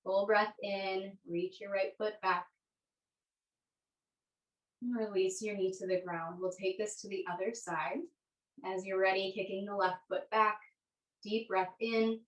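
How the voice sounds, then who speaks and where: A woman teaching a yoga class, giving gentle, unhurried guided instructions. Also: mid-range voice, flat delivery. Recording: echoey room, distant microphone.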